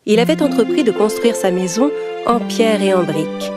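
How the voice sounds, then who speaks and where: Lady storyteller reading a kids tale, medium pitch, music pretty loud behind. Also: animated, moderate pace. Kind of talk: scripted children's story narration.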